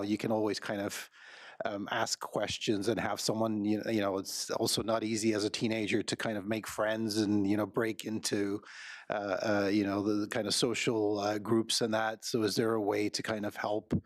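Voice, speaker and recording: A Canadian man, a school board trustee, asking questions at a board meeting in a rambling, halting way full of fillers. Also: on the low side, level tone, no room sound.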